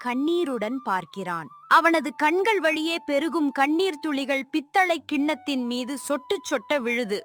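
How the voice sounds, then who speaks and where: High-voiced woman narrating dramatically. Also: animated, normal speed, hardly any pauses, fairly bright tone. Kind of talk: dramatic scripted story narration.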